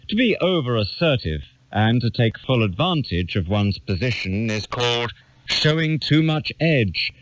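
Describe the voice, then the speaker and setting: A man reading a literary essay aloud in a measured, formal manner. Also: mid-range voice, no echo, dull audio. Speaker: reader of an essay.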